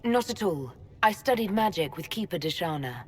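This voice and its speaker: An actress playing an earnest, level-headed young female elven mage, calm and direct, in a video game. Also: lowish voice, moderate pace, husky, long reverb tail.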